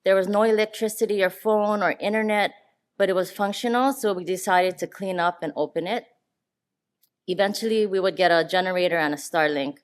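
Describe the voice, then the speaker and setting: A woman's voice: medium pitch, fairly quick, clear, resonant tone. A woman giving a conference talk on public health work, earnest and walking through her slides. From Hawaii. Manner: earnest, talks through slides, some restarts. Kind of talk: conference presentation. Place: conference room. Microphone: handheld or podium mic.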